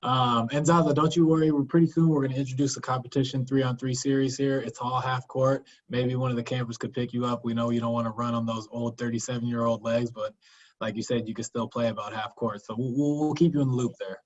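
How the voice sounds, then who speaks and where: A man coaching at a camp hosts a virtual Q&A over a video call, friendly and upbeat, often pausing on "um." His mid-pitched voice comes through dull, lo-fi call audio. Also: flat delivery. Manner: friendly, upbeat, hesitant "um" fillers. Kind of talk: hosting a virtual Q&A.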